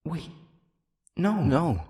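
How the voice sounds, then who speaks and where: A man's voice: fairly high pitch, sing-song, dark tone, heavily acted. A man playing an anxious, flustered young boyfriend in an intimate audio roleplay, nervous and scatterbrained. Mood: flustered, panicked. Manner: stammering, halting, nervous.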